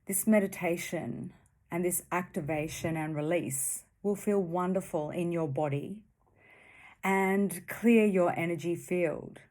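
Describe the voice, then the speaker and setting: Very slow, low-pitched woman guiding meditation. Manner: soothing, gentle, with long pauses between short phrases. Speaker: meditation guide. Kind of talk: guided meditation.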